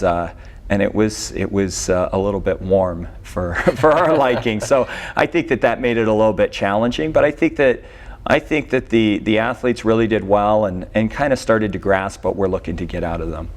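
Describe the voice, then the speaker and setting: A man speaking as a college coach in a casual sports interview, explaining in a hesitant, measured way. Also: lowish voice. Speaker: college swimming head coach.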